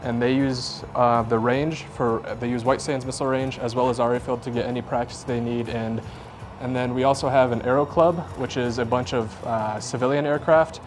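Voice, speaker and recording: A man's voice: medium pitch, steady tone, normal speed. Casting: young American man, conversational tour guide from air traffic control, plain-spoken and explanatory, music underneath. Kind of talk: informal explanatory tour talk.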